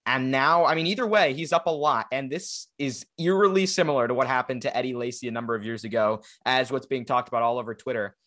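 A man's voice: medium pitch, quick pace, few pauses, fairly bright tone. A young man hosting a sports podcast in a casual, rambling chat with a guest. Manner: rambling, filler-heavy, conversational. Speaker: podcast host.

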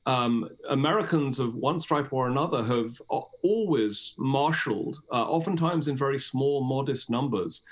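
A man's voice: medium pitch, animated, moderate pace, few pauses. A man with a British accent, speaking as an academic guest in an explanatory, discursive and emphatic interview style.

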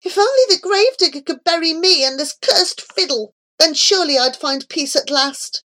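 Desperate storybook boy, very high voice, anguished, pleading, remorseful, played by a woman. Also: animated, moderate pace, bright, forceful tone, heavily acted. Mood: despairing. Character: desperate, remorseful boy cursed to fiddle and dance.

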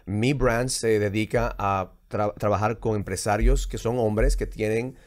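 A man's voice: fairly low pitch, fairly even intonation, a little slow. A man with an American English accent co-hosting a business podcast in casual talk, slipping English phrases into his speech with energy. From immigrant to the United States. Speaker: podcast co-host.

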